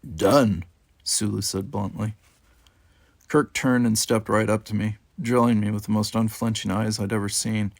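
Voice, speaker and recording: Gravelly-edged man reading fiction, close and dry. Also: on the low side, level tone, moderate pace, no echo. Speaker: amateur audiobook reader. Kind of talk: expressive scripted first-person fiction narration.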